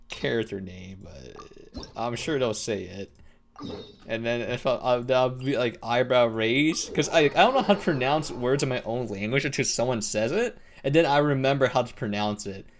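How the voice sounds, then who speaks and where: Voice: mid-range voice, normal speed, slight background noise. A game streamer chatting casually and giving joking, self-deprecating live commentary. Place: dim room at home. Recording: faint game music behind.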